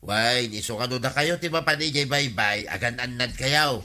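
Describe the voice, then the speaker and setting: A folksy village pal in a comedy radio play. The voice is mid-pitched and piercingly bright and forceful, with an Ilocano accent and chummy, colloquial delivery. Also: male voice, moderate pace.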